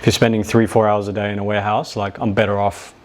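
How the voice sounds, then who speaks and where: Young guy from new zealand, lowish voice, chill podcast guest chat, lots of like and yeah. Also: flat delivery, fast talker, a little mellow. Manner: laid-back, lots of "like" and "yeah". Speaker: guest, e-commerce business owner. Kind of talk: casual interview chat.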